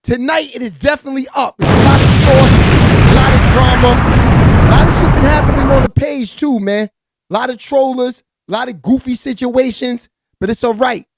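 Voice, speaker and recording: A raspy-voiced man hosting a live entertainment news stream, giving hyped, slangy hip-hop gossip commentary with lots of shout-outs to viewers. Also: very high-pitched, brisk, very noisy.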